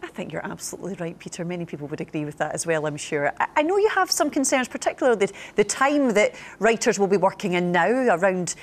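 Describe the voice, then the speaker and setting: A woman's voice: fairly low pitch, big pitch swings, fast talker, hardly any pauses, a little hiss or hum. A woman presenting a regional news programme, chatty, with tag questions to viewers and guests. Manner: conversational, adds tag questions. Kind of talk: news presenting and live interview.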